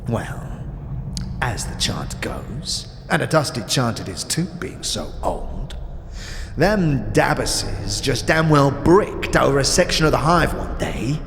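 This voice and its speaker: Creaky, sly old schemer in echoing hall. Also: male voice, medium pitch, sing-song, fairly bright tone, a little hiss or hum, exaggerated voice. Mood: sly storytelling. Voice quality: creaky, often dropping into breathy whispers. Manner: theatrical, taunting, drawn-out phrases with street slang. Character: withered, sly, contemptuous old male underworld boss. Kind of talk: fantasy audio drama acting. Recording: long hall reverb, music behind.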